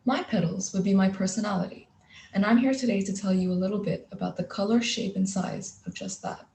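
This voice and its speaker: A poised teenage girl from Kuwait, with Gujarati as her first language, delivers an articulate prepared speech. Her voice is warm with some vocal fry. She speaks over a video call from an echoey room. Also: mid-range voice, steady tone, a little fast, slight background noise. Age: teens. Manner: articulate, poised, polished phrasing. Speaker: youth speaker.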